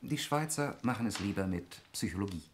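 An actor playing an irritable, analytical lawyer in a 1960s German radio play, arguing precisely and testily. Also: male voice, lowish voice, lively intonation, a little fast, close to an everyday voice. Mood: scornful, analytical. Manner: argumentative, precise, testy. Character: irritable, analytical lawyer, brother in a wealthy family. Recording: noisy 1960s radio drama recording.

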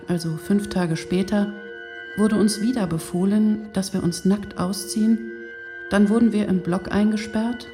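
An actress playing a condemned woman camp prisoner in a radio drama, speaking gravely and deliberately with bitter accusation. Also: medium pitch, natural voice. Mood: grave, despairing. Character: doomed women camp prisoners, one a defiant mother, bitterly accusing. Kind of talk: dramatised reading of testimony for radio. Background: music.

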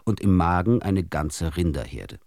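A man narrating absurd short tales for a radio drama in a theatrical, expressive way. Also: sits low, measured pace, creaky, dry recording, clean studio sound. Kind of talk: scripted radio storytelling.

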